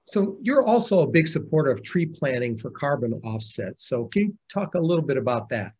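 Husky older man asking questions. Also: medium pitch, big pitch swings, brisk, a little mellow, muffled recording. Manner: plain, direct questions. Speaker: interviewer. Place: office with bookshelves. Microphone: video call.

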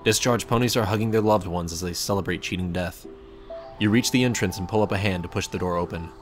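A man narrates a scripted story in a low voice. He addresses the listener as the main character, reading over faint music. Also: fast talker. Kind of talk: scripted second-person fan fiction narration.

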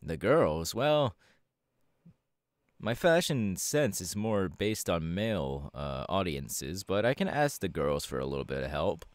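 A man voicing a laid-back, cocky young winged superhero in a casual ASMR audio roleplay, talking slangily with hesitant pauses. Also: deep voice, big pitch swings, no room sound, clean studio sound. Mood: musing, easygoing.

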